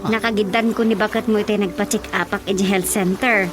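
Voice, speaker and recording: A woman's voice: medium pitch, steady tone, fairly quick, over music, lightly acted. An actress playing a scolding, gossipy village woman with an Ilocano accent in a radio comedy drama. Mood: taunting, matter-of-fact.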